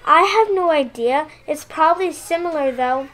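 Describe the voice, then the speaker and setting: A woman acts as a young schoolgirl in a radio drama. She has a high voice and a friendly, encouraging way, with some noise behind her. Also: moderate pace, a bit bright, low-quality recording. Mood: reassuring. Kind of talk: radio play acting.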